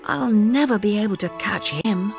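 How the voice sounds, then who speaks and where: A woman's voice: mid-range voice, moderate pace, vocal fry, faint music behind, voice pushed up, lightly acted. A woman voicing a bold young hero prince in a children's storybook, in an exclamatory, dramatic manner. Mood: awed. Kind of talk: children's storybook read-aloud.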